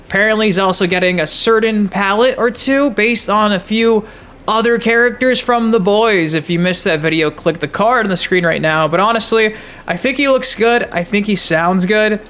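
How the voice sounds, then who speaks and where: A man with a high-pitched voice hosts gaming news commentary. He is excitable and emphatic, talking in casual slang as if to regular followers. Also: moderate pace, clean tone. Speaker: gaming channel host.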